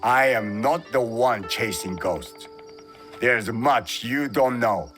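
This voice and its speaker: An actor playing a grave older Japanese warrior mentor in a video game cutscene, speaking in a measured, cryptic, solemn way. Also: male voice, medium pitch, expressive, fairly bright tone. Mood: urging. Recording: music behind the dialogue.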